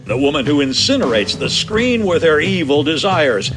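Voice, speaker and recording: A man's voice: fairly high pitch, big pitch swings, moderate pace, music in the background. An actor playing a sensational male announcer from a 1950s film trailer.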